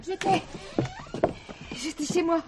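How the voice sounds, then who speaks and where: A frightened lady with a high, breathless voice. Her delivery is theatrical, in old radio crime drama style. The recording is clearly noisy. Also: slow. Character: frightened, dramatic woman guest at a ranch. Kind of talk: 1970s French radio crime drama acting.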